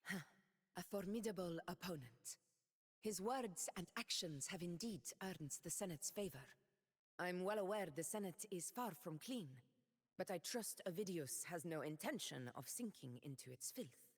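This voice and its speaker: A woman voicing a proud noble former gladiator in a game, speaking in a measured, dignified way. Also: on the low side, expressive, breathy edge, long reverb tail. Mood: reflective. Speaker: game character. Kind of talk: game character voice lines.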